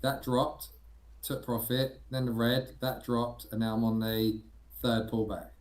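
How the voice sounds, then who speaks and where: A man's voice: mid-range voice, flat delivery, a little slow, echoey room. A man chatting casually as a fellow trader on a live stream. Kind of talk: casual chat.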